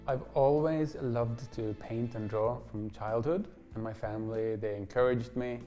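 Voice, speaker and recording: Soft-spoken man trailing off quietly. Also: mid-range voice, fairly even intonation, a little slow. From Norway. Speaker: painter. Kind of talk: interview monologue. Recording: faint music behind.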